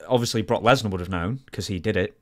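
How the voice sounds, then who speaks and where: A man's voice: lowish voice, fast, a bit bright. A man co-hosting a podcast, talking casually through sports news and explaining it. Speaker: podcast co-host.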